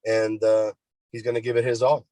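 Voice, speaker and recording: A man's voice: lowish voice, little pitch movement, normal speed, mellow, no room sound, poor audio. A man interpreting for a boxer on a media call in an informal, colloquial way. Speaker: boxer's representative and translator. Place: remote media conference call.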